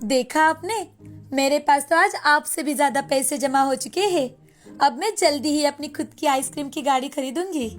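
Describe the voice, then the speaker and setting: A woman voicing an ambitious, greedy, insistent wife in an animated moral story. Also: sits high, normal speed, soft background music, lightly acted. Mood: boastful. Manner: insistent, complaining. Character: ambitious, greedy, nagging young wife selling ice cream.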